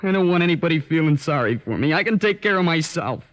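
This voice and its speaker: A man's voice: a little high, lively intonation, fast, natural voice. A man acting a guarded, tough young delinquent in an old-time radio drama, with a slangy, clipped, wary delivery. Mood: defensive. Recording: muffled old radio recording.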